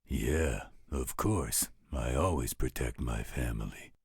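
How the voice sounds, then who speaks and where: A man playing a gruff, overprotective werewolf guardian in an audio roleplay, gravelly and husky, with a protective, fatherly manner. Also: a bass voice, lively intonation, slow, no room sound, clean studio sound, full character voice. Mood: gruff, defensive. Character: gruff, overprotective male werewolf guardian, tender toward his ward.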